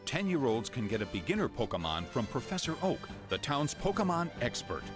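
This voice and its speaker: A man's voice: medium pitch, sing-song, fairly quick, over music, close to an everyday voice. A man playing a storybook-style cartoon narrator in a scripted anime dub. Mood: expository.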